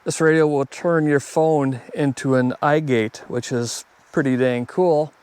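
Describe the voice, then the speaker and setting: A man's voice: a little high, fairly even intonation, unhurried, darkish tone, smooth. A man talking casually and off the cuff as a hobbyist video host reviewing gear, plainspoken and conversational. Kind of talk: casual unscripted product review.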